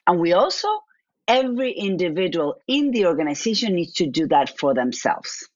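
Low-voiced woman with an Argentine accent. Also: expressive, moderate pace, dry recording, muffled recording. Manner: talks in restarts and repeats, thinking aloud. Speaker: business owner, guest. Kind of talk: interview answers.

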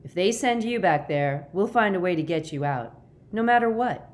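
A woman voicing a bold, protective storybook girl, brisk, chummy and confident. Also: lowish voice, expressive, close to an everyday voice. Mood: determined.

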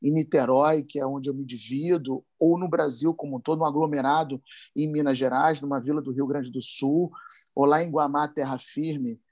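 Carioca man, fairly high voice, impassioned speaker, slangy and emphatic. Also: fairly even intonation, moderate pace, a little mellow, lo-fi sound. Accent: Carioca Portuguese. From Brazil. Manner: emphatic, rising and speeding up when worked up, slangy asides. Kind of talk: impassioned talk.

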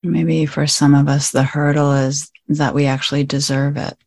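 A woman leading a guided meditation, gently inviting the listener in short phrases with long pauses between them. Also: deep voice, level tone, vocal fry, dry recording, clean studio sound. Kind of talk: guided meditation, slow spoken instruction.

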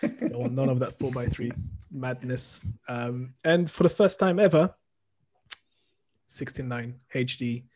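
A man's voice: medium pitch, fairly even intonation, a little slow, darkish tone, low-quality recording. Rambling laid-back male podcast host. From half French. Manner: laid-back, rambling with many ums and small laughs. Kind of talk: casual podcast chat.

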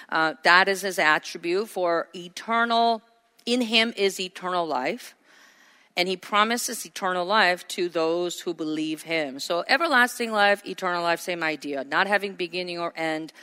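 A woman's voice: on the low side, bright, forceful tone, clear, resonant tone. A woman pastor preaching a sermon, earnest and asking her congregation questions. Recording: hall reverb.

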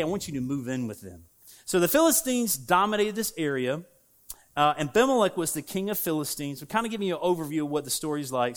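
Southern-accented man preaching casually. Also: a little high. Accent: Southern American. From United States. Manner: casual, conversational preaching with fillers and asides. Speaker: pastor. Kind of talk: sermon. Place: church sanctuary.

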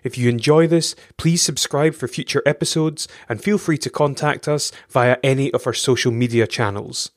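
Male interview host, polite, encouraging, mid-pitched voice. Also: moderate pace, few pauses. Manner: polite, encouraging, frequent affirmations. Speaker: podcast host. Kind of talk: interview podcast hosting.

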